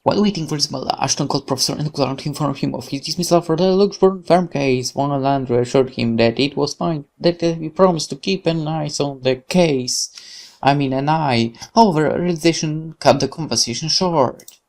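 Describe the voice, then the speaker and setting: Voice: medium pitch, moderate pace, darkish tone. A game streamer reading visual novel narration aloud expressively, occasionally stumbling over words. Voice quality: creaky.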